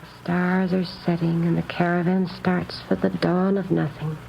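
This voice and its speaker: An actress playing an earnest, naive and very proper nun in a 1950s radio drama, speaking in careful, precise phrases. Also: female voice, lowish voice, level tone, measured pace, creaky. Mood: troubled, earnest. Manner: prim, proper, carefully enunciated. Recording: dull old radio recording, faint noise.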